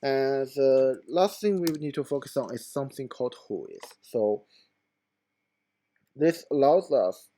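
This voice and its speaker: A hoarse man who is losing his voice, talking through a screen-recorded computer tutorial in a halting, informal way with many fillers. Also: mid-range voice, animated, mellow, no echo.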